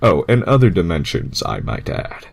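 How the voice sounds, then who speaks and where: A man voicing a smug, laid-back cartoon hedgehog who tries to sound mature, speaking slowly and mock-seriously. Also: deep voice, huge pitch swings, gravelly, husky, pitched below the performer's own voice, heavily acted. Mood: confiding. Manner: slow, deliberate, mock-serious.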